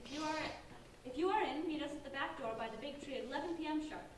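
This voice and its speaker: A woman playing a bossy, sharp-minded teenage girl in an amateur staged reading, recorded in an echoey room. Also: sits high, fast talker, close to an everyday voice. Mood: commanding. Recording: very echoey room, distant mic, faint noise.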